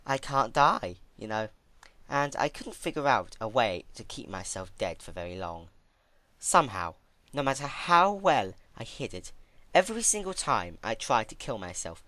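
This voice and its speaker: A man's voice: medium pitch, big pitch swings, pushed and bright. A man voicing an ancient, unhinged female pony princess who recalls her torments in a theatrical, chatty way, in a dramatic story reading. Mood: bitterly chatty. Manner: theatrical, chatty about horrors, with drawn-out emphatic words. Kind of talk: dramatic fan fiction reading.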